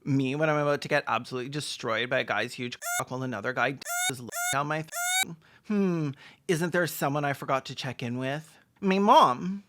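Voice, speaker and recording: A medium-pitched commentator delivers sarcastic film commentary. The manner is campy and mocking, with dry asides played for comedy. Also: big pitch swings, fairly quick, a bit bright, slight background noise. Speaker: video essayist, film commentator.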